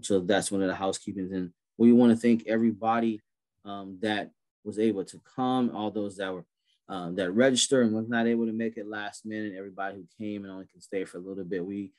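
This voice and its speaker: A man's voice: fairly low pitch, fairly even intonation, fairly quick, darkish tone. A man in his thirties hosting an online prayer event over a video call, talking in an easygoing way with many fillers and restarts. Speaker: event host.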